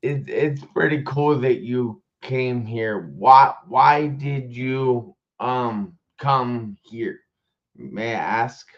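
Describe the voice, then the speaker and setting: A man hosts a casual live-stream interview at a very slow pace. He is halting and often repeats words as he goes. The sound is dull and lo-fi, as from an online call. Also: mid-range voice. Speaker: live show host.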